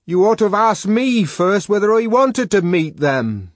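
A man's voice: sits high, sing-song, unhurried, no room sound, clean studio sound, voice pushed up, heavily acted. A man playing an exasperated, bawling young savage. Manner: bawling, derisive, ferocious.

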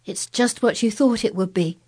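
A woman voicing an anxious, excitable sickly young boy in a storybook reading, eager and pleading. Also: medium pitch, moderate pace, slightly rough, pitched above the performer's own voice, close to an everyday voice.

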